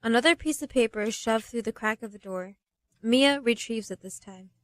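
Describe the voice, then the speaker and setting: A woman acting as a knowing storyteller narrating a radio play. Her voice is moderately high, with a scripted delivery and a dry studio-like sound. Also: normal speed, dry recording, lightly acted. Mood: storytelling.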